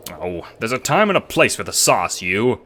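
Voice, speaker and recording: A man's voice: lowish voice, very animated, moderate pace, fairly bright tone, roomy sound, long reverb tail, a little hiss or hum. A man voicing a pompous old adventurer in an audio drama, blunt and condescending, full of old-fashioned slang. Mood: impatient.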